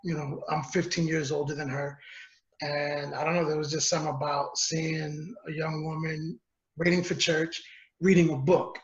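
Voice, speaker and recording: A man's voice: fairly high pitch, little pitch movement, moderate pace, reverberant, dull audio. A man from North New Jersey, a guest speaking candidly and joking a little about meeting his wife. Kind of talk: casual chat.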